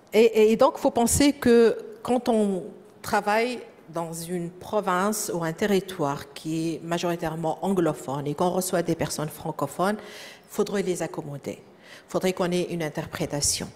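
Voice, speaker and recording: Woman, French-speaking accent, conference presenter, lowish voice, many fillers and repeated words, reverberant hall. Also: a little mellow. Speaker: presenter at a professional development session. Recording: echoey hall.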